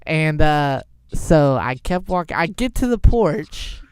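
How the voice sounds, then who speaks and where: A young man telling an improvised story in a halting, informal way. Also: fairly high pitch, lively intonation, clean tone, no echo, clean studio sound. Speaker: storyteller, show host.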